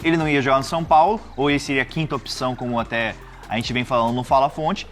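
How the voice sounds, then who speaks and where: A man's voice: medium pitch, fairly even intonation, fast, soft background music. A Brazilian man chatting as a panelist in a casual football debate.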